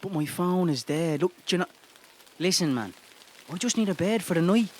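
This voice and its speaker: A man's voice: high-pitched, lively intonation, normal speed, a little hiss or hum. A man acting a desperate homeless Irish father in a radio play, pleading, exasperated and prone to swearing. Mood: urgent pleading.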